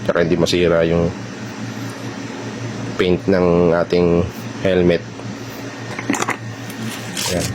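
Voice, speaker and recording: Filipino man, deep voice, little pitch movement, casual vlogger addressing viewers, hands-on demo, clearly noisy recording. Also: completely flat delivery, takes it slowly, pauses often. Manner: informal, addresses viewers directly. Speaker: vlogger presenting a product installation. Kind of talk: casual product demo vlog narration.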